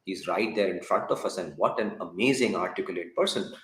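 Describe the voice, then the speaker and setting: A man explaining his principles as a school founder on a panel, with emphatic, deliberate phrasing. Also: deep voice, fairly bright tone. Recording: roomy echoing sound.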